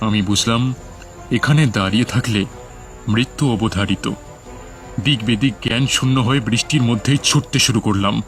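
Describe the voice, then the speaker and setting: A man's voice: lowish voice, moderate pace, long pauses, a bit bright. A man narrating a scripted first-person horror story in a dramatic, suspenseful manner. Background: music under the voice.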